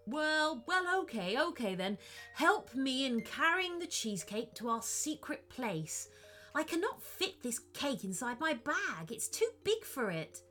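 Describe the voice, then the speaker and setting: A woman doing a squeaky, sly rat thief. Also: big pitch swings, measured pace, bright, forceful tone, faint music behind, full character voice. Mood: wary. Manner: sly, turns menacing.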